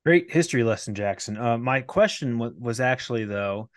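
A man's voice: mid-range voice, expressive, moderate pace, no room sound, studio quality. A man hosting a podcast in a loose, playful, teasing way. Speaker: podcast host. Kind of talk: casual podcast chat.

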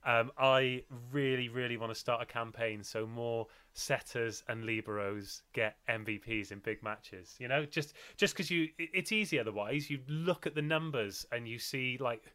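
Man, podcast host, bright tone, energetic casual chat, video call sound. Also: medium pitch, moderate pace, hardly any pauses, clear, resonant tone. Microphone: video call mic.

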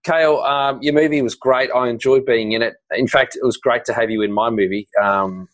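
Jokey New Zealand podcast co-host. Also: male voice, medium pitch, fairly even intonation, fairly quick, no room sound, low-quality recording. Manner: jokey, laughs often. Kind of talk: casual podcast chat.

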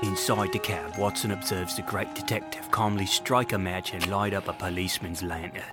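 A man narrates an audio drama in a lowish voice. His storytelling is florid and theatrical, set against loud music. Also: steady tone, normal speed.

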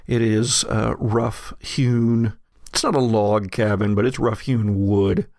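A man narrating a horror tabletop game as game master, telling it in a halting, descriptive way. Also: lowish voice, steady tone, a little mellow, no room sound, studio quality.

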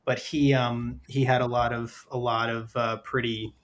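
A man hosting a podcast in a casual, conversational way, halting and hedging as he thinks aloud. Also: medium pitch, flat delivery. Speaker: podcast host.

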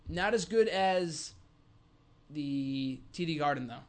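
This is a man in his early twenties with a slightly high voice. He talks casually as an enthusiastic wrestling fan hosting a show, often repeating a phrase for emphasis. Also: lively intonation, takes it slowly. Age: early 20s. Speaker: co-host of a pro wrestling fan podcast. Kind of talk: casual sports fan chat.

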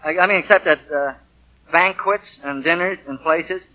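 An actor playing a nervous, bumbling, eager young husband who stammers, in a 1940s radio drama. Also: male voice, on the high side, normal speed, dull audio, natural voice. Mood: awkwardly jovial. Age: young adult. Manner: stammering, flustered.

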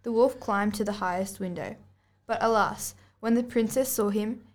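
A woman's voice: mid-range voice, normal speed, a little mellow. A girl of about eleven or twelve reading scripted storybook narration aloud as a student podcast host. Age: child, about 11 to 12.

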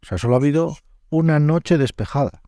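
A man's voice: fairly high pitch, a little fast, mellow, no echo. A man with a northern Spanish accent hosting a podcast in casual, colloquial, slangy interview chat. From northern Spain. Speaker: podcast host.

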